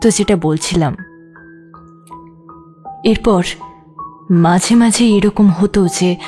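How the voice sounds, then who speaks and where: A woman acts a frank, wary courtesan in an audio drama, confiding her story with a sharp tongue at times. Her voice sits at a medium pitch, with faint music underneath. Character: adult prostitute recounting her past, wary, frank, sometimes sharp-tongued. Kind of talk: Bengali audio story acting.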